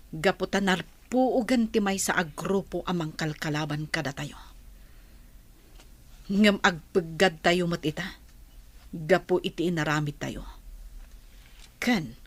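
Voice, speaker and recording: A woman with an Ilocano accent playing a grave, cautioning older-sister rebel in a radio drama, earnest and admonishing. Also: lowish voice.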